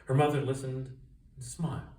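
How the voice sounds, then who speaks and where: A man reading a children's story aloud with expressive, dramatic emphasis. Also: mid-range voice, moderate pace. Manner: expressive, dramatic emphasis and sound-effect words. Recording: roomy echo, distant mic, faint noise.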